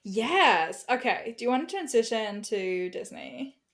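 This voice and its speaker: A woman's voice: a little high, animated, unhurried. A German-accented woman talks casually as a podcast guest, her English carrying a German first language underneath. She chats loosely, using plenty of fillers and laughing often. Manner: chatty, many fillers and laughs. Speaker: guest writer.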